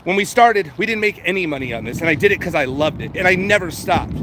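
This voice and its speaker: High-pitched man livestreaming amid outdoor noise. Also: animated, quick pace, a bit bright. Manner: informal, sweary, addresses viewers directly. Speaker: livestream host chatting with viewers. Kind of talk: casual livestream chat. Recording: noisy outdoor street and vehicle background.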